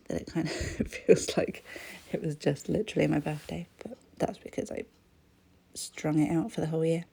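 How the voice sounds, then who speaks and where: Croaky chatty woman. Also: low voice, warm tone. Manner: rambling, chatty, often drops to a whisper. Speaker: crafting vlogger. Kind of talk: casual voice-over chat while crafting.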